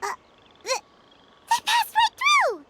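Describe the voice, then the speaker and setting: Very squeaky little fairy companion. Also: female voice, very animated, slow pace, sharp tone, a little hiss or hum, full character voice. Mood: alarmed. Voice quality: squeaky, childlike. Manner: refers to self in third person. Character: tiny childlike female fairy companion, squeaky, excitable, curious. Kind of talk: video game voice acting.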